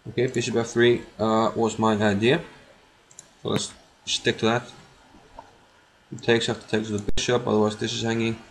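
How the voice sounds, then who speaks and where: Guy w icelandic accent streaming a game, gravelly fry, mumbles n repeats himself, bit of noise and clicks. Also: on the low side, level tone, moderate pace, pauses often. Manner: muttering, repeats his own phrases. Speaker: chess streamer. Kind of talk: casual live game commentary, thinking aloud.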